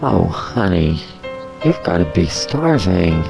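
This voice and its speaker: A man voices a doting, flamboyant fellow in an audio drama, emotional and coaxing. His voice is low and creaky, over faint music. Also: sing-song, takes it slowly, full character voice, poor audio. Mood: tender, worried. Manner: tender, coaxing.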